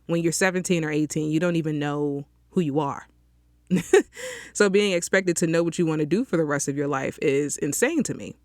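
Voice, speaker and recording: A woman's voice: low-pitched, moderate pace, a little mellow, no room sound, clean studio sound. A young woman hosting her own podcast, chatting on her own from a small closet and often checking in with listeners with an "okay?". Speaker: podcast host. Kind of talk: casual solo podcast monologue. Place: small closet, outdoor sounds leaking in.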